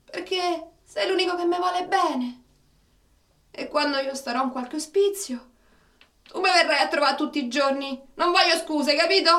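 A woman's voice: high-pitched, a bit bright. An actress playing a clingy, fragile, doting mother with a Roman accent in a radio drama. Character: clingy doting mother, emotional and fragile, talking to her son. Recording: roomy, distant microphone.

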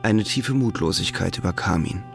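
A male narrator delivers scripted literary prose for an audio drama. His voice is lowish with plenty of fry, and faint music plays behind him. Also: normal speed.

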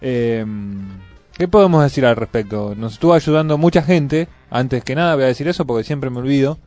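A man's voice: mid-range voice, moderate pace, darkish tone, no room sound, soft background music. A man with a Buenos Aires accent, casually chatting as a radio host. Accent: Buenos Aires Argentine. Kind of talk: casual chat introducing a segment.

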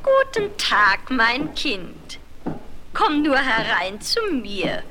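A woman playing an old spinner in a fairy-tale radio play, inviting and gently coaxing, on a noisy vinyl transfer. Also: fairly high pitch, big pitch swings, extremely slow, heavily acted. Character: kindly-seeming old woman spinning in a tower. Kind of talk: 1970s German fairy-tale audio drama acting.

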